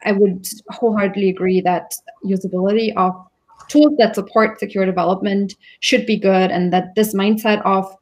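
A woman's voice: mid-range voice, fairly even intonation, moderate pace, darkish tone. A woman answering as a panelist on a video call, explaining her opinion informally and conversationally. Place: room indoors, video call.

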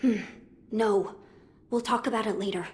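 A woman playing a composed young boy lord in a video game, speaking in a formal, measured manner. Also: medium pitch, steady tone, husky, close to an everyday voice. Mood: gentle, serious. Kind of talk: video game cutscene acting.

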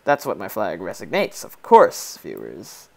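A man's voice: low voice, huge pitch swings, a little slow, gravelly. A teenage boy from Poland with a Polish accent, joking and rambling as he plays a game and comments. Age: teens. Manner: joking, rambling, reads game lines in put-on voices. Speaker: gaming YouTuber. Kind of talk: casual let's play commentary.

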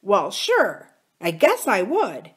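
A woman's voice: medium pitch, huge pitch swings, slow. A woman voicing a kind, earnest, gullible young male farmer in an audiobook, eager and reassuring. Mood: protective. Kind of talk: audiobook character dialogue.

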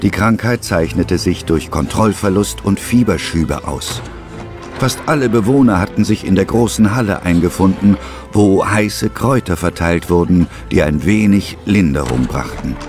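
A man's voice: sits low, normal speed, darkish tone, soft background music. A man narrating an adventure story in a scripted storytelling style.

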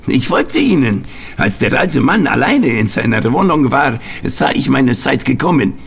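Radio play role: hot-tempered Italian culprit, mid-pitched, gravelly and husky, confessing his failure. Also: sing-song, moderate pace, exaggerated voice. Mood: agitated confession. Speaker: actor in an audio drama. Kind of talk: German radio play acting.

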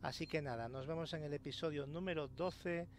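A man's voice: a little high, steady tone, fairly quick. A man with a Spain accent giving casual, sweary gameplay commentary as host. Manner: sweary, colloquial. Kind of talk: casual let's play commentary. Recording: noisy game ambience behind voice.

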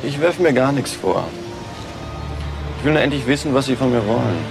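A blunt, indifferent ex-convict. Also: male voice, medium pitch, lively intonation, moderate pace, vocal fry, music in the background. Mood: irritated. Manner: terse, blunt, offhand. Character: gruff, indifferent ex-convict sized up as hitman. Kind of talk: German crime radio drama acting.

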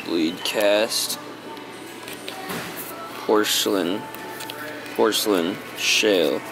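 A man's voice: fairly low pitch, extremely slow, vocal fry, music in the background. An American man in his twenties chatting casually to the camera like a vlogger, with slangy, bro-ish asides to his viewers. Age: 20s. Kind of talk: casual vlog chat to camera. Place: car, large warehouse stores, parking lot. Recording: noisy car and big-box store ambience.

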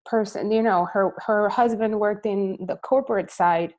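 Upbeat woman in her forties, mellow voice. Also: mid-range voice, normal speed, poor audio. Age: 40s. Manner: energetic, candid, rambling personal story. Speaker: team leader pitching a sales business. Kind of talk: motivational talk on a video call. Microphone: video call.

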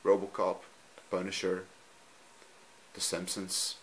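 A man from Belgium, a native Dutch speaker with a Dutch accent, talking off camera in a halting, simple way while he films his TV screen at home. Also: low-pitched, completely flat delivery, a bit bright, a little hiss or hum. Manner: halting, frequent ums, simple non-native phrasing. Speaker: hobbyist youtuber. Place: room at home, filming a TV screen.

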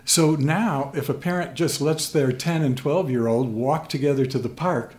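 An older man with an American accent, explaining things as an expert guest in an interview, in measured phrases with pauses. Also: a little high, darkish tone. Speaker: guest expert, sports sociologist. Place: small plain room at a table, indoors. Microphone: desk microphone on stand.

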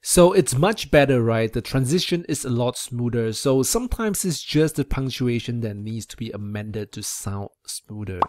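A man's voice: mid-range voice, lively intonation, normal speed, hardly any pauses, darkish tone. Young guy doing a chill screen demo review, close mic, just talking it through. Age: young adult. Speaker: software reviewer. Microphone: close desk microphone.

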